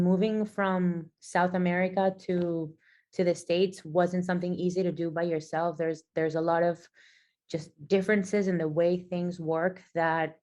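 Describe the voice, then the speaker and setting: A woman from Venezuela speaks as a podcast guest in a fairly low voice. She talks thoughtfully and conversationally, often circling back and restarting her sentences. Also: mellow. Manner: thoughtful, with frequent restarts and fillers. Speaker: guest, physical therapist and athlete. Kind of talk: conversational interview answers.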